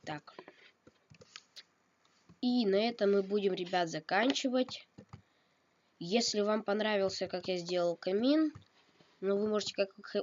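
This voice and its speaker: A slightly ill man giving a casual game tutorial, thinking aloud and correcting himself, coughing now and then. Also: high voice. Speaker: game tutorial video maker. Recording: dull lo-fi mic with noise. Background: keyboard and mouse clicks.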